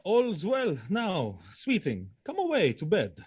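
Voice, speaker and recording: Commanding stern general in shakespeare audio play, slow n weighty, voice swings all over. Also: male voice, high-pitched, huge pitch swings, darkish tone, husky, exaggerated voice. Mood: calm authority. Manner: declamatory, weighty, deliberate. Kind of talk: Shakespearean audio drama acting.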